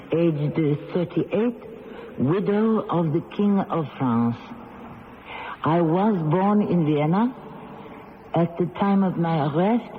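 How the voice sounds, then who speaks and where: An actress playing a proud, resigned condemned queen in her late thirties, speaking formally and deliberately in a radio drama. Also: lowish voice, phone-quality sound, slight background noise. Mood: composed. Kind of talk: 1970s radio drama acting. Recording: band-limited old radio recording, reverberant, music behind.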